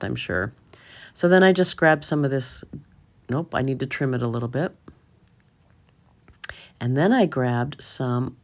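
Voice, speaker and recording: A middle-aged woman with a low, slightly hoarse voice, narrating a craft tutorial in a casual, chatty, self-deprecating way. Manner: chatty, self-deprecating, chuckles at own mishaps. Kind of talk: casual voice-over narration of a DIY craft demo.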